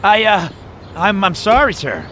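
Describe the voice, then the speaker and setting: Game casting: cowering, apologetic little man, sharp high voice, faltering stammer. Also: big pitch swings, unhurried, a little hiss or hum, full character voice. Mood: terrified, pleading. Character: terrified meek man apologizing to a gangster. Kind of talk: video game cutscene dialogue.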